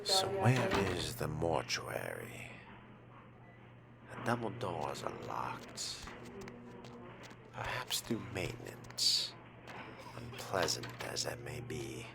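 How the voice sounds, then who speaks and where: Man playing a slow, suave, sardonic vampire fledgling. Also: a bass voice, huge pitch swings, gravelly, noisy background, exaggerated voice. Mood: musing. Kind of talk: in-character roleplay narration of a video game. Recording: loud music behind the voice.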